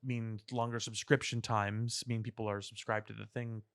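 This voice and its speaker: A man's voice: fairly low pitch, little pitch movement, moderate pace, fairly bright tone, smooth, dry recording, studio quality. A man co-hosting a podcast, chatting casually about pop culture with wry joking. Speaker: podcast co-host.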